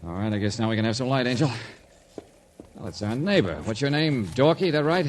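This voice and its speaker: An American man playing a tough, wry private investigator in old radio drama style, clipped and commanding, with a husky voice. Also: medium pitch, expressive, a little fast, very noisy, natural voice. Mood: needling. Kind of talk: 1940s-50s American radio drama acting.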